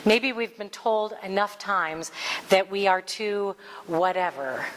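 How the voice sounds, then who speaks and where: A woman with a middle-pitched voice delivers an earnest, heartfelt spiritual talk to a gathered congregation. Her words carry long reverb through a large hall. A faint hum of room noise sits underneath. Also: slow pace. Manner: earnest, heartfelt, reads quotes aloud. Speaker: minister giving a talk.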